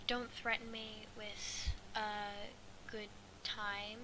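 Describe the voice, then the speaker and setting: A woman reading a story aloud as an amateur, halting and stumbling over her words. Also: on the high side. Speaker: fan fiction reader. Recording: clearly noisy amateur recording.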